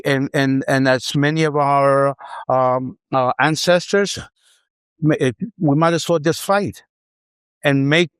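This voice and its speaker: A man's voice: mid-range voice, clear, resonant tone, dry recording. A middle-aged male professor on a panel, speaking with determination and repeating phrases for emphasis. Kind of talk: round table remarks.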